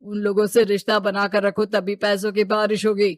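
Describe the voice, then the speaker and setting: A woman's voice: mid-range voice, monotone, moderate pace, dry recording, clean studio sound, natural voice. A woman voicing a stern, money-minded, domineering father in a cartoon moral story, brusque and scolding.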